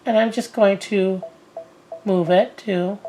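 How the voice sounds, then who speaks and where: A woman in her forties talks through a sewing lesson while getting over a cold. She rambles in a relaxed way, with plenty of ums and false starts. The camera mic catches the sound of a cluttered home sewing room. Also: mid-range voice, slow, a little hiss or hum, rough recording. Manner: chatty, many fillers and restarts. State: recovering from a cold. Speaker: sewing instructor. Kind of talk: casual how-to demonstration.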